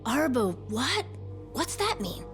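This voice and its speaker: A young child in a video game. The voice is high and very lively in pitch, innocent and curious. Faint music plays behind. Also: female voice, takes it slowly, exaggerated voice. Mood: puzzled. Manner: innocent, questioning. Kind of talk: English dub video game voice acting.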